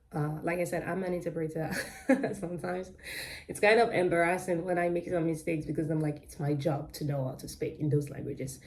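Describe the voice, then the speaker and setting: A young Nigerian woman with a British-leaning accent talks in a loose, rambling way, often pausing on ums and correcting herself mid-sentence. Her voice sits a little low and sounds mellow and warm. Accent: Nigerian with British-influenced English. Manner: rambling and talkative, many ums and self-corrections. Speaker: crochet vlogger. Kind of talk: casual chatty vlog.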